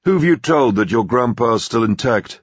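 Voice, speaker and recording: A man voicing a self-assured hired investigator in an audiobook, speaking briskly and directly in a businesslike way. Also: medium pitch, lively intonation, slightly rough, dry recording, muffled recording, pitched above the performer's own voice. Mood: probing.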